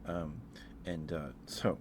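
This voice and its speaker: A man hosting an ASMR livestream, chatting casually in a halting, rambling way with pauses and repetitions. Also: deep voice, steady tone, noisy background. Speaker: livestream host.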